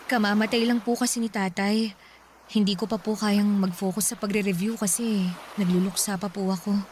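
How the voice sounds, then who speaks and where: A woman's voice: medium pitch, fairly even intonation, normal speed, a little mellow, a little hiss or hum, close to an everyday voice. A woman playing a grieving young daughter in a radio drama, polite and pleading with her mother.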